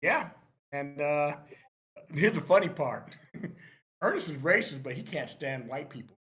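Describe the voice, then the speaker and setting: A man with a fairly high voice talks casually as a screenwriter in an online meeting. His delivery is emphatic and halting, with phrases repeated to drive the point home. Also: lively intonation, fairly bright tone. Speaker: screenwriter responding to feedback. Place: online live meeting room.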